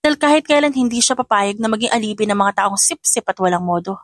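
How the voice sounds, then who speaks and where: A woman's voice: medium pitch, animated, fast talker, no room sound, studio quality. A woman reading scripted dramatic narration as the storyteller.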